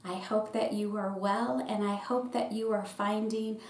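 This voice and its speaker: A middle-aged woman, a pastor, reading a children's picture book aloud in short, deliberate phrases. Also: medium pitch, steady tone, a little mellow. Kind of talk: storybook read-aloud for children. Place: small indoor room with bookshelf. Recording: roomy echo with faint background noise.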